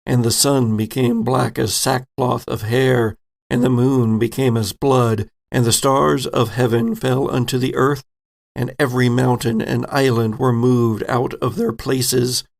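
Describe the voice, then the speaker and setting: A man's voice: medium pitch, steady tone, measured pace, vocal fry. A man voicing a solemn prophet who recites apocalyptic scripture in a grave, portentous manner, as in an audio drama. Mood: grave, foreboding.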